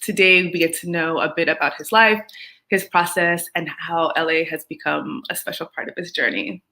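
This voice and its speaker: A young woman hosts, her voice a touch low for a woman. She introduces the show from a script and then chats warmly and brightly with her guest. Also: moderate pace. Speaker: host.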